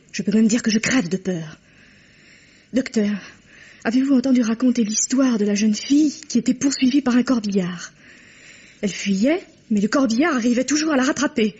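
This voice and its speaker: Scared but haughty young lady, high breathy voice, old muffled radio. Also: a little mellow. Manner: haughty, defiant, tense. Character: frightened, defiant young woman rider, haughty and sharp-tongued. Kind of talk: 1950s French radio drama acting. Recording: dull old radio recording.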